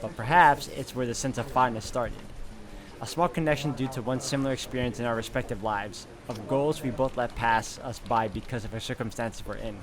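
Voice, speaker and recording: A man's voice: medium pitch, a little fast, a crowd in the background. A man hosting a gaming video, reading visual novel narration aloud.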